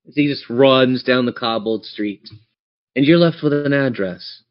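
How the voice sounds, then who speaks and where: A man's voice: medium pitch, a little slow. Male tabletop game master, mid register, storytelling on the fly, mildly muffled recording. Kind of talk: improvised tabletop game narration. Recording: slightly muffled voice-chat audio.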